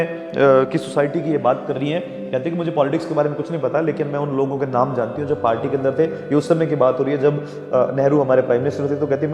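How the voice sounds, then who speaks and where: A man's voice: mid-range voice, muffled recording. Indian man teaching in an echoey room. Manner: reads English verse lines slowly, then explains them in Hindi with frequent "okay". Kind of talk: explaining a poem line by line to students. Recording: echoey room with long reverb and background music.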